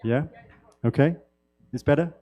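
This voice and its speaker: A man from the United Kingdom giving a university lecture as a guest speaker, with a loud voice and a friendly, halting delivery full of fillers and restarts. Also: fairly high pitch. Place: lecture hall. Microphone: handheld mic.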